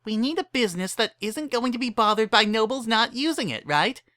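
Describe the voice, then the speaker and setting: A narrator voicing an excitable, cheerful young female party pony in a bubbly, insistent manner. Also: medium pitch, brisk, no room sound, voice pushed up. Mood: eager, bubbly.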